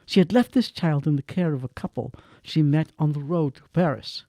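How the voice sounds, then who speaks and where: A man narrating a radio drama in measured, expressive tones with dramatic pauses. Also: fairly high pitch, no echo. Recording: dry with faint music behind.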